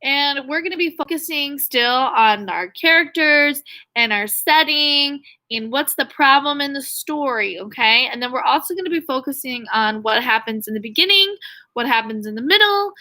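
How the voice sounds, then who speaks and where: A woman's voice: high voice, lively intonation, normal speed, pushed and bright. A woman teacher reading a story aloud to young children, expressively, with questions and asides. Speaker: elementary school teacher.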